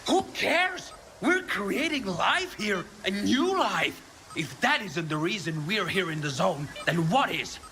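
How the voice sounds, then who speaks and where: A bitter, ambitious game scientist with a high-pitched, theatrical voice. He rants grandly and swoops up and down, over noise and music. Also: very animated, slow pace, bright, forceful tone, heavily acted. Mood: indignant, fervent. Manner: theatrical, ranting. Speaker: scientist character. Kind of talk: video game cutscene acting.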